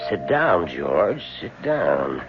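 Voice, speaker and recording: An actor playing a tactful, fatherly older boss in a radio drama, speaking with halting, gentle authority. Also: sits low, sing-song, over loud music, heavily acted. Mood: solicitous. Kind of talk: old-time radio drama acting. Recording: dull radio recording, faint noise.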